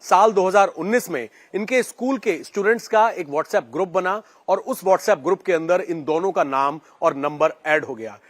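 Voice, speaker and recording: High-pitched male news reporter. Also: normal speed, few pauses. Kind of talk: reporter's explanatory narration.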